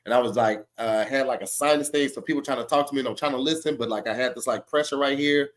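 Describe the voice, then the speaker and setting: A man with a congested, stuffy voice, talking casually and joking as a podcast co-host. His pitch sits in an ordinary middle range, and he stretches words out for effect. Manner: loose, joking, drawn-out delivery. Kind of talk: casual chat and read ad.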